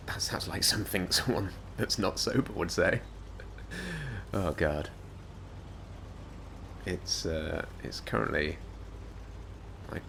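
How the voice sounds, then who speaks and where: A man with a slightly croaky voice, giving rambling, self-mocking gameplay commentary. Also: deep voice, a bit bright, slight background noise. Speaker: gaming YouTuber.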